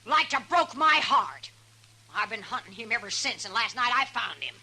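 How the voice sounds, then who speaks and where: Brash, high-pitched frontier wife. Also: female voice, animated, normal speed, pushed and bright, breathy edge, full character voice. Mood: aggrieved. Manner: blustery, folksy insults. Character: brash, bossy, proud frontier wife with a hot temper. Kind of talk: 1950s radio western acting. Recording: noisy 1950s radio recording with hum.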